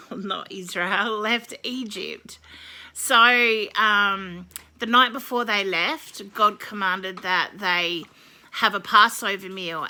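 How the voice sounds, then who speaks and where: A woman with a slightly croaky voice explaining a holiday in a casual, meandering way, with many ums and self-corrections. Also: mid-range voice, sharp tone. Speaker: video host explaining a holiday. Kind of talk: casual explanatory talk to camera.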